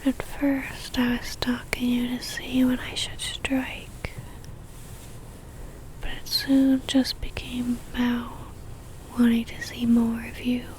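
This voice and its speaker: A woman voicing a lovestruck, possessive vampire in a soft, breathy ASMR whisper, speaking intimately and coaxingly close to the microphone. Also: a little high, monotone, slow pace, poor audio. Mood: tender, lovestruck. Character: possessive lovestruck female vampire stalker, centuries old.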